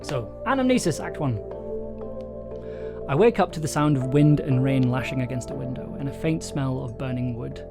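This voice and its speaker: A man's voice: medium pitch, normal speed, creaky. A man livestreaming in a casual, hesitant way, thinking aloud and reading from his own story draft. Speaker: streamer and audio drama writer. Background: chill soundtrack music.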